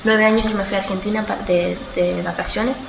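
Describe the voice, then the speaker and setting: An eighteen-year-old woman from Australia answers interview questions informally, haltingly searching for words and correcting herself. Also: mid-range voice, fairly even intonation. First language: Spanish. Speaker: student being interviewed. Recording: echoey room with clear background noise.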